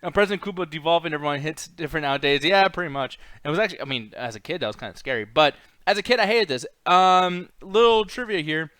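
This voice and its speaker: A man's voice: a little high, fast, few pauses, no room sound. A man co-hosting a podcast in casual, profane banter, ranting bluntly and slipping into exasperated mock voices. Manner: blunt, swearing, exasperated, animated mimicry. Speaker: podcast co-host.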